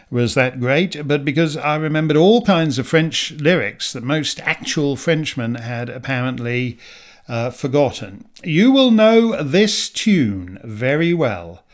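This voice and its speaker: A middle-aged man, hoarse from losing his voice, hosting and introducing a weekly song in a gentle, reflective, sentimental way. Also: on the high side. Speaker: host.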